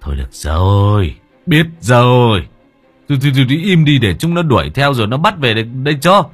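A man voicing a stern older village chief who barks orders in a gruff, commanding way. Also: sits low, animated, fairly quick, a bit bright, no room sound, faint music behind, exaggerated voice. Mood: scolding.

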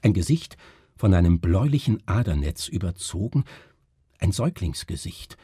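A man's voice: low voice, big pitch swings, normal speed, warm tone, husky, dry recording, clean studio sound. A man giving a dramatic literary narration for radio.

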